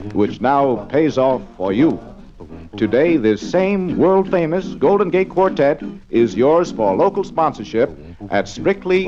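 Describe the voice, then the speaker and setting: Animated man delivering a vintage promotional pitch. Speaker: radio announcer. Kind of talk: scripted promotional sales pitch. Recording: dull vintage radio transcription, music behind. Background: music.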